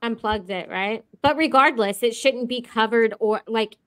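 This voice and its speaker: A woman's voice: fairly high pitch, normal speed. A woman chatting casually, very informal and a little hesitant, tending to trail off. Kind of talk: casual chat.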